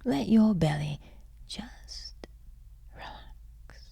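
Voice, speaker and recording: A woman leading a guided sleep meditation in a soothing, hushed and breathy voice, leaving long pauses. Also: medium pitch, lively intonation, whispered. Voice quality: breathy, husky.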